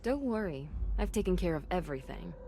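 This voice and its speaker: A woman's voice: fairly low pitch, big pitch swings, fairly quick, noisy background. A woman playing a confident, businesslike investigator in a video game, matter-of-fact and self-assured. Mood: wry, assured. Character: confident, businesslike female investigator with a dry edge. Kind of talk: scripted video game cutscene acting.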